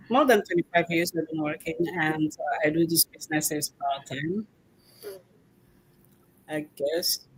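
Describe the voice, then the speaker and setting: An older Congolese woman, a grandmother, introducing herself on a video call in a measured, hesitant way. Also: lowish voice, breathy edge, low-quality recording. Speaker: guest. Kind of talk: self-introduction on live stream.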